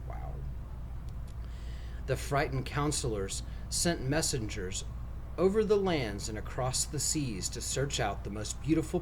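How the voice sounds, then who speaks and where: A man reading a storybook aloud to children in an expressive storytelling style. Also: medium pitch, low-quality recording. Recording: faint background noise.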